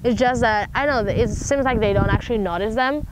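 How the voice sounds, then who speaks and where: A woman's voice: on the high side, brisk, background noise. A teenage girl from Spain with a Spanish accent, a native Spanish speaker giving a documentary interview in a chatty way, full of "like" and "I don't know". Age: teens. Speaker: student interviewee.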